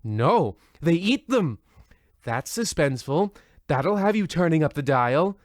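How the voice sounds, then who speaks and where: A man's voice: fairly high pitch, big pitch swings, measured pace, no echo, studio quality. A man playing a theatrical, over-explaining host of an old-time radio mystery, pompous and melodramatic. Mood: mock ominous. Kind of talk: 1940s radio mystery narration parody.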